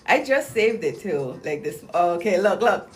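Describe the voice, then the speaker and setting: A young woman with a slightly low voice chatting on a livestream, bubbly and full of slang and fillers. She reads viewer comments aloud in a loose, rambling way, with soft music playing faintly behind her. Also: animated, measured pace. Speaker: livestream host promoting clinic deals. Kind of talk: casual livestream chat, reading viewer comments.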